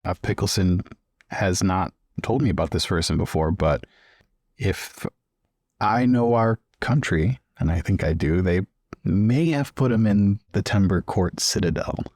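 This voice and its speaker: A man's voice: deep voice, animated, a little slow, darkish tone, no echo, clean studio sound. A man improvising casual in-character dialogue as a player in a tabletop roleplaying game.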